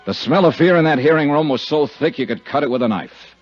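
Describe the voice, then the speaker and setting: A wry, hard-boiled investigator from fifties radio. His husky voice moves expressively up and down as he acts and narrates. The vintage recording is dull, with a little music about. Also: a little high, lively intonation, brisk. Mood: brooding. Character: dry, tough male insurance investigator. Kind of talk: 1950s radio drama acting and narration.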